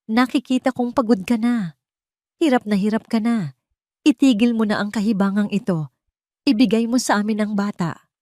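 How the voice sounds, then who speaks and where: A woman's voice: on the high side, moderate pace, pauses often, a little mellow, no room sound, pitched above the performer's own voice, natural voice. A woman narrator puts on an imperious old society matriarch for an audio drama. She is cold, unflinching and commanding, bargaining and threatening with total control. The sound is dry and close. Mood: cold, persuasive.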